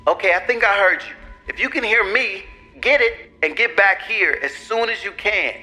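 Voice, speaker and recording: An actor playing an excitable, playful young-spirited male astronaut in an audio drama, with an eager manner. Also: medium pitch, normal speed, faint music behind, rough recording. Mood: concerned. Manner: eager, exclamatory. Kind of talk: animated audio drama acting.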